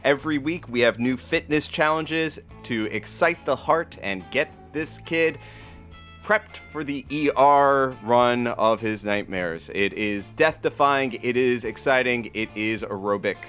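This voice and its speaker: A man's voice: medium pitch, unhurried, a bit bright, soft background music, natural voice. A man playing a wry, mock-dramatic radio announcer and sidekick in a comic audio drama. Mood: mock-dramatic hype.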